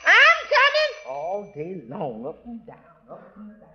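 A woman's voice: mid-range voice, wildly swooping pitch, measured pace, music in the background. A woman playing a harried, grumbling, exclaiming housemaid in 1940s radio comedy style. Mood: exasperated. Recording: noisy, dull vintage radio recording.

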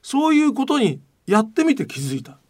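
A man's voice: extremely high pitch, big pitch swings, a little fast, darkish tone. A male host chatting casually and giving his opinions in a blunt, emphatic, colloquial way. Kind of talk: opinionated casual talk show chat.

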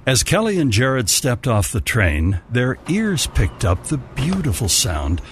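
Highly animated man telling a holiday story. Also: measured pace, slight background noise. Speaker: storyteller and radio-show narrator. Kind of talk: scripted holiday story narration in old-time radio manner.

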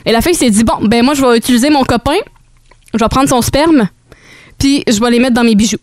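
A woman with a Québécois French accent co-hosting a morning radio show, chatty and quick to laugh. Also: fairly high pitch, darkish tone, dry recording, clean studio sound. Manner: chatty, giggly storyteller. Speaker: radio co-host. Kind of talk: casual radio banter.

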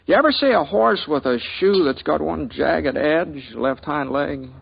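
A laconic Western drifter. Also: male voice, a little high, lively intonation, creaky. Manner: slow drawl, country grammar. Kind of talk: 1950s radio drama acting. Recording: dull old radio recording with faint hiss.

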